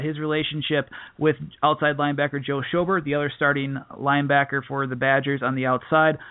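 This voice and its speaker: A man with a fairly high voice hosts a casual sports show. He talks in a chatty, informal way. The sound is narrow and phone-like, close and dry with little room around it. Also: no echo. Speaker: podcast host and sports reporter. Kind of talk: casual radio sports interview.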